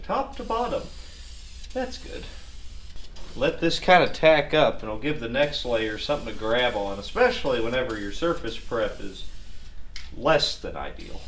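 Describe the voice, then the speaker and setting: A man giving casual, hands-on how-to narration in a wry, self-deprecating, folksy way while working on a car. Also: mid-range voice. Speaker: DIY car repair video host. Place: garage workshop. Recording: echoey, distant mic, clearly noisy.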